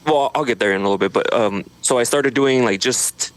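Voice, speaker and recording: Relaxed man from Idaho, dry studio sound. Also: medium pitch, dry recording, low-quality recording. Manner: relaxed, halting restarts. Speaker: guest, social media creator. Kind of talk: casual interview chat. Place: his home studio.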